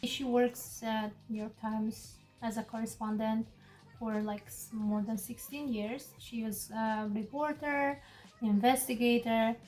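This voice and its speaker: A woman in her late twenties from Kazakhstan, who is not a native English speaker, chatting casually in an interview with relaxed fillers and restarts. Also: fairly high pitch, measured pace, echoey room, soft background music. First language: Kazakh or Russian. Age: 20s. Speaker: interview guest.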